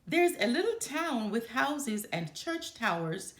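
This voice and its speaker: A bragging young girl bear cub from a picture book, as a woman reads her aloud. She is competitive and a bit grumpy, speaking emphatically in a high, swooping voice in a roomy space. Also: big pitch swings, slow pace, a bit bright, heavily acted. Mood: smug. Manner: bragging, one-upping, emphatic.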